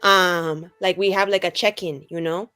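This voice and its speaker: A woman's voice: on the low side, steady tone, normal speed, bright, forceful tone, clear, resonant tone. A woman playing a tabletop roleplaying game, talking in a casual, improvised style.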